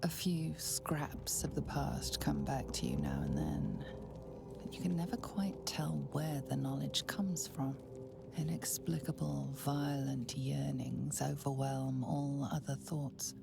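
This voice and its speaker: A woman playing an ominous game narrator who speaks in a hushed, creaky, deliberate whisper with menace. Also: low voice, lively intonation, full character voice. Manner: slow, deliberate, menacing storytelling. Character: hushed, ominous female narrator of dark inner urges. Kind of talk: dramatic second-person game narration. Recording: game audio under prominent music.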